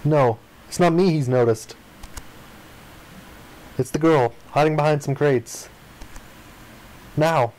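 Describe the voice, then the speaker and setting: A man with a somewhat higher-pitched voice reads story text aloud from a visual novel. He dramatizes the dialogue, performing the lines as part of a game playthrough. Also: slow pace, pauses often, a little mellow, poor audio. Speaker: gaming video player reading aloud.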